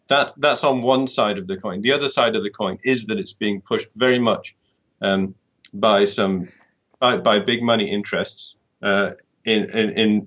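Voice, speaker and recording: A man's voice: lowish voice, fairly bright tone, breathy edge. A middle-aged man from the UK, answering interview questions thoughtfully and often repeating words as he restarts. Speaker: author guest.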